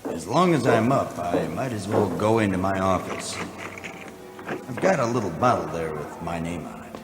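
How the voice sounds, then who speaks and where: A wry noir private detective in a radio comedy. His low voice delivers deadpan wisecracks with plenty of swing in it. It sounds roomy, with music underneath. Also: moderate pace. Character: wry hard-boiled private detective narrator, hard-drinking. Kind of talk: 1940s radio detective parody acting.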